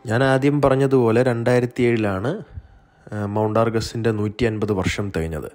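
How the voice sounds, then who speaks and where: A man giving a measured, explanatory documentary voice-over as the narrator of a video. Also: lowish voice, steady tone, darkish tone. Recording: music bed, some dull echoey passages. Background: music.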